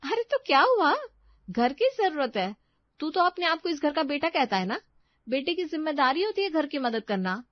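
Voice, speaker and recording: A woman's voice: high voice, sing-song, moderate pace, highs cut off, voice pushed up. A woman playing a pushy married elder sister in a cartoon story, coaxing and insistent. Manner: wheedling, insistent.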